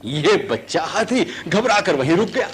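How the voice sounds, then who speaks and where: High-pitched, deferential elephant catcher. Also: male voice, unhurried, slightly rough, exaggerated voice. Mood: excited recounting. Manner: servile address, vivid excited recounting. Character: deferential, earnest male elephant catcher, eager storyteller. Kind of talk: Hindi radio drama acting.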